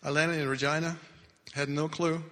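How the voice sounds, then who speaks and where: A man in his sixties, not a native English speaker, telling his life story earnestly as a testimony in church, with halting restarts. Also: fairly high pitch, flat delivery, sharp tone. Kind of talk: personal testimony talk. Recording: echoey hall with long reverb.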